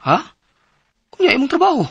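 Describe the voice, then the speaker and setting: A man with a Cebuano Filipino accent acting an eager, trusting husband in a radio drama, animated and exclamatory. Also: extremely high pitch, fairly quick, creaky, no room sound, heavily acted. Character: eager, trusting husband and father, small investor.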